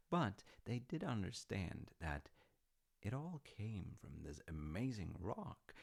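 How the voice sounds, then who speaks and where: Guy acting as a chill dad telling myths, real low voice, lilting up and down, dry humour. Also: creaky, breathy edge, full character voice. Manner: wry, understated, musing with dry humour. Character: easygoing, artsy dad telling Norse myths to his grown child. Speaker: voice actor in an audio roleplay. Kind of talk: casual, intimate storytelling roleplay.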